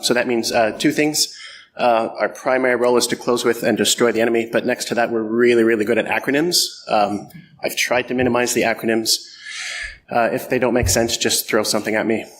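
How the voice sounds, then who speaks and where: A man's voice: mid-range voice, fairly even intonation, brisk, fairly bright tone, slight background noise. A middle-aged man from Canada giving a conference talk, self-deprecating and joking, with many ums and uhs. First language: French or English, bilingual. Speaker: conference speaker. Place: conference hall.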